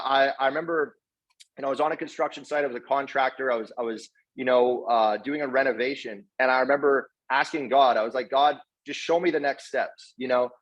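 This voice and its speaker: Man in muffled, lo-fi audio. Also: medium pitch. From Canada, partly raised in South Africa. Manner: earnest, effusively grateful, slangy bro-talk with repeated words. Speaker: guest speaker, network marketer. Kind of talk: informal motivational testimonial. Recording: dull, lo-fi online call audio.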